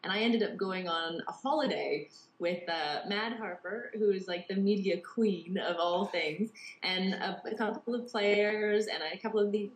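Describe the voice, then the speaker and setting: A woman's voice: mid-range voice, a little slow. A Canadian woman with a slight English tinge to her accent, chatting freely as an interview guest with lots of filler words. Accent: Canadian with English traces. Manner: chatty, many "you know" and "like" fillers. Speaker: interview guest, poker TV presenter. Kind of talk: casual interview chat. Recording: echoey room with faint noise.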